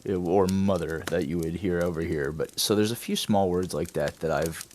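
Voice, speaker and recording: A man's voice: sits low, normal speed, darkish tone, a little hiss or hum. A man, co-hosting a casual chat and telling jokey, rambling stories. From Southern United States. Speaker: podcast co-host.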